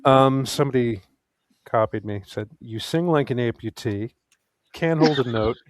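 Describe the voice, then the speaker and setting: A man's voice: medium pitch, normal speed, dry recording. A man chatting casually in a droll, understated way, as if telling an anecdote.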